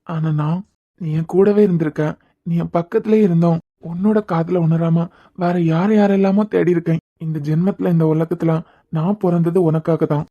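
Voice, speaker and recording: Guy doing soft-spoken lovestruck young man, mellow dark voice. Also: fairly high pitch, steady tone, moderate pace, dull audio. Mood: tender. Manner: soft, tender and poetic. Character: young man secretly in love, tender. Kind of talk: romantic audio drama acting.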